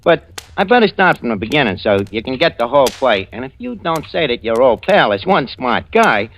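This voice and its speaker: A man's voice: mid-range voice, big pitch swings, brisk, fairly bright tone. An actor playing a cocky, slangy small-time New crook, boastfully telling his tale in an old-time radio drama. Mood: boastful, amused. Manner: wisecracking, boastful, hesitant with frequent uhs. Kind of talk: old-time radio drama acting, first-person narration. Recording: noisy vintage radio recording with clicks.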